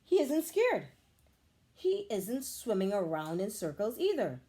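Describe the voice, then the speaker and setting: A woman, a teacher, reading a children's picture book aloud with expressive, animated storytelling. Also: mid-range voice, unhurried.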